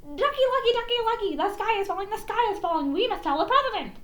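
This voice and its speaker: Frantic storybook chicken, performed by a woman: shrill high register, panicky repetition, none too bright, highly animated delivery. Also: fast, reverberant. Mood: panicked, urgent. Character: frantic, dim-witted female chicken clucking warnings of doom. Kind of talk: animated storybook read-aloud.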